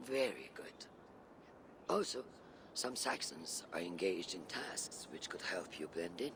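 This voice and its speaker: An actor playing a seasoned older assassin mentor in a video game, shrewd and patient, speaking in measured, formal, archaic phrases. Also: male voice, low voice, animated, background noise. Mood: low, scheming. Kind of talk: dramatic video game dialogue.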